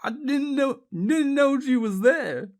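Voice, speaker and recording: Audiobook role: guilt-ridden old grandmother, female narrator acting, emotional, firm, pleading, very animated. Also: sits high, slow pace, pitched above the performer's own voice, exaggerated voice. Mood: tender grief. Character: sobbing, guilt-ridden elderly grandmother, firm yet anguished.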